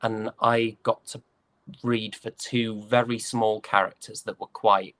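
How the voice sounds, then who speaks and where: British man, young adult, lowish voice, dry close sound, hesitant storyteller, casual interview chat. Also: flat delivery, measured pace, a bit bright, no room sound. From United Kingdom. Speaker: interview guest, stage and voice actor.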